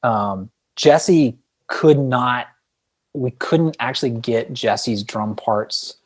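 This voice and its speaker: A man talks through his thoughts in a casual interview style. He rambles reflectively and is modest to the point of self-deprecation, with an ordinary mid-pitched voice. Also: extremely slow. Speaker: podcast guest, indie band frontman.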